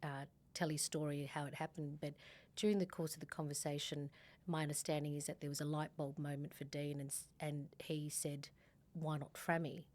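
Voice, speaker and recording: A woman's voice: sits low, level tone, normal speed. A middle-aged Australian woman from Sydney, a family law partner, talking casually in a podcast interview with frequent fillers and restarts. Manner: warm, chatty, many fillers and restarts.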